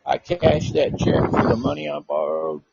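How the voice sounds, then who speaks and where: A man's voice: medium pitch, very animated, normal speed, vocal fry. A man in his fifties chatting casually, blunt and rambling, with a lot of swearing. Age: 50s. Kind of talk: casual chat, profane. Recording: muffled lo-fi audio, noisy background, clicks.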